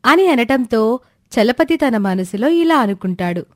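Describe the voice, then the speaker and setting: A woman's voice: fairly high pitch, expressive, a little slow, no echo, clean studio sound. A woman reading a scripted moral tale as the storyteller.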